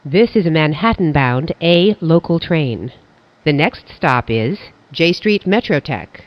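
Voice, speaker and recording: A train announcer reading scripted station announcements in a formal, measured, pieced-together way. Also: medium pitch, animated, no room sound, dull audio.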